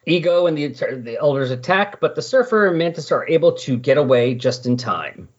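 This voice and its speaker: A man's voice: on the high side, lively intonation, moderate pace, husky. A man hosting a casual comic-book discussion, explaining things in a conversational way. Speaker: podcast host. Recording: dull, lo-fi voice recording.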